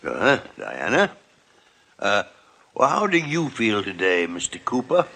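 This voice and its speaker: Gruff old head doctor, bouncy voice, bright, old radio play. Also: male voice, fairly low pitch, wildly swooping pitch, unhurried, exaggerated voice. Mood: reassuring. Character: gruff older male hospital chief doctor. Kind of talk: 1950s radio drama acting. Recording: old radio broadcast recording.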